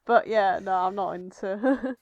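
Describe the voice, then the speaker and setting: A woman's voice: mid-range voice, measured pace, a little mellow, dry recording, muffled recording. A woman co-hosting a podcast, chatting casually in a blunt, teasing way. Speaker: podcast co-host. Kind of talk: casual banter.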